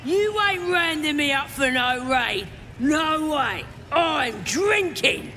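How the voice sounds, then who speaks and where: A man playing a defiant, rowdy goblin drinker in a video game, loud and shouting. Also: very high-pitched, very animated, slow pace, slight background noise, full character voice. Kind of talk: video game character acting.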